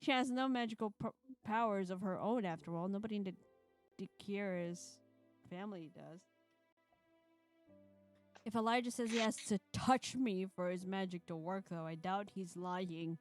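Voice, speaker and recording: A woman's voice: medium pitch, normal speed, soft background music. A woman streamer reading a visual novel aloud, with playful comments and frequent laughs. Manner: playful, laughs between narrated lines.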